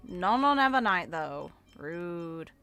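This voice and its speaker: A woman's voice: lowish voice, very animated, takes it slowly, pushed and bright, clear, resonant tone, soft background music. A woman giving casual gaming reaction commentary in short, wry, informal asides. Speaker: gamer reacting to character voicelines.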